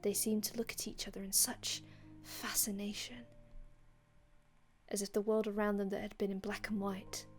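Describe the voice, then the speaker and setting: A woman voicing a melancholy vampire from an older time in an ASMR roleplay, speaking in a hushed, breathy way with dramatic pauses and formal, old-fashioned wording. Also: medium pitch, level tone, lightly acted. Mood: wistful, hushed storytelling. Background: music.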